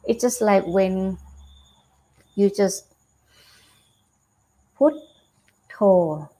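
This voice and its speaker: A Buddhist nun with a Thai accent, giving a calm dharma talk over a video call, unhurried with fillers and restarts. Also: female voice, mid-range voice, rough recording. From Thailand. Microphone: video call webcam.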